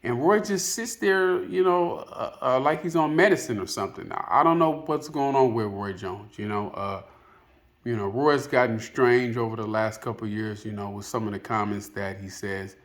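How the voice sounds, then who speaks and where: A man's voice: mid-range voice, moderate pace. A man giving casual, unscripted opinion commentary as a video host, rambling and hesitant, often padding with filler phrases. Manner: hesitant, rambling, peppered with "you know".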